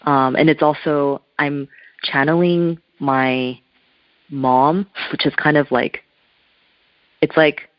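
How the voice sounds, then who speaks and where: Slow, thoughtful woman on a muffled phone line. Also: low voice, fairly even intonation, no echo. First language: Korean. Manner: searching, thoughtful, loose phrasing. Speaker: interview guest, spiritual artist. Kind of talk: reflective telephone interview talk. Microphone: telephone.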